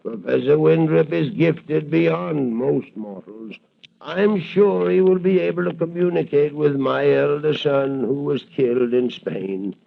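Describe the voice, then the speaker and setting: Elderly banker father, very gravelly, solemn and trusting, forties radio serial, narrow muffled audio. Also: on the high side, unhurried, heavily acted. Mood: solemn, wistful. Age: older. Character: grieving, credulous aging banker father, solemn. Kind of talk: 1940s radio adventure acting. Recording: muffled, band-limited vintage radio recording.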